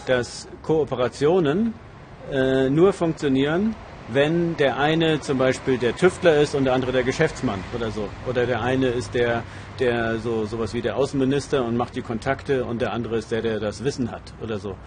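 A man talking off the cuff and reflectively in an interview, halting as he searches for words. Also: mid-range voice, darkish tone, soft background music. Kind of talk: spontaneous reflective interview talk.

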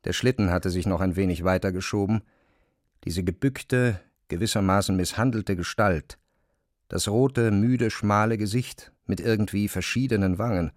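Low-voiced man narrating, close and dry. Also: normal speed, no echo. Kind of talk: literary scripted narration.

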